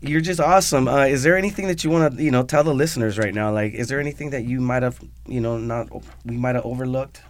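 A man's voice: medium pitch, fast, hardly any pauses. A man hosting a radio interview, upbeat and hyping up the guest, often turning to address the listeners directly. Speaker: radio host.

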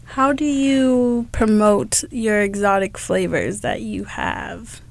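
A young woman interviewing shop owners, asking short questions and giving soft "okay"s. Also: medium pitch, slow, creaky. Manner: brief prompts and soft acknowledgments.

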